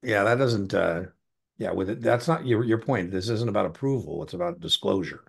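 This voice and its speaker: A middle-aged American man with a gravelly voice tutoring one-on-one over a video call, informal, encouraging and given to tangents. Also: lowish voice, animated, normal speed. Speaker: exam tutor. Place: indoor room on a video call.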